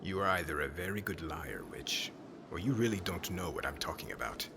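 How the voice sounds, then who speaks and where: Casting: male actor as stern witch hunter for ASMR roleplay, low-pitched, cold, breathy, bitter, over clearly audible background noise. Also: a little fast. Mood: angry, contemptuous. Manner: cold, commanding, brooding.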